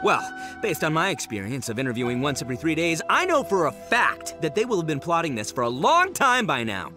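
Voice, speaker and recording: A man's voice: fairly high pitch, huge pitch swings, fairly quick. A man playing a brash, goofy young gang boss in a video game, slangy, blustering and theatrical. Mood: blustering, uncertain. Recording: music behind the voice.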